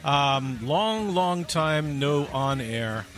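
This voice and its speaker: A man's voice: on the high side, animated, drawn-out words. A man hosting an aviation talk podcast, telling a story in a rambling, joking way with many fillers. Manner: rambling, jokey, lots of fillers. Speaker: podcast host and pilot. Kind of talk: casual radio chat.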